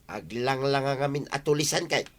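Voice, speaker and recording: A man's voice: medium pitch, fairly quick, a bit bright, natural voice. Actor as a grumbling, suspicious village man. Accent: Ilocano. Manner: complaining, distrustful. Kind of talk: Ilocano comedy radio drama acting.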